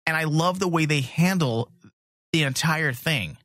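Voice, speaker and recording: A man's voice: fairly high pitch, a little slow, a bit bright, no echo, clean studio sound. A man chatting casually and opinionatedly as a fan podcast host, blunt and swearing freely.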